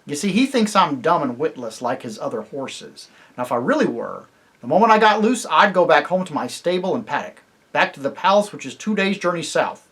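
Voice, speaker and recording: A proud talking warhorse from a storybook, blunt and scornful, as a man puts him on. The voice is husky and swings widely in pitch, and the delivery is loud and emphatic. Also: brisk, exaggerated voice. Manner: emphatic, lively storybook reading.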